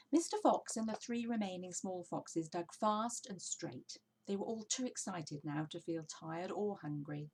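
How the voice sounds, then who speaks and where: Female storybook reader: expressive narration, raspy tone with noticeable vocal fry. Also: fairly low pitch. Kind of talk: expressive children's book narration.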